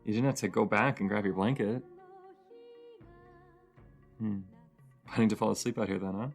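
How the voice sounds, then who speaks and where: Woman as a creaky, sleepy, teasing roommate. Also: normal speed, faint music behind. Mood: casual, caring. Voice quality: sleepy, grumbly low voice with creak. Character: cozy, teasing young roommate with a shy crush. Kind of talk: intimate audio roleplay, casual and cozy.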